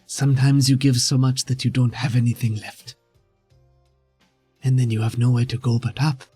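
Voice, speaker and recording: A man's voice: medium pitch, little pitch movement, dark tone, husky, no room sound, soft background music, natural voice. Man playing a solemn, weary defeated captive. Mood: resigned. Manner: measured, solemn, formal. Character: weary, defeated male captive, formal and grateful.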